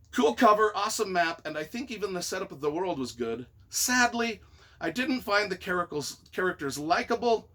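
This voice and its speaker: A man reading reviews aloud and reacting casually in an expressive, wry, self-deprecating way. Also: sits high. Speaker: author and YouTuber.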